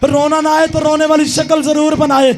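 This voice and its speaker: A man giving a mourning recitation at a gathering, half-singing and shouting his lament. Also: extremely high pitch, flat delivery, moderate pace. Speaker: majlis reciter.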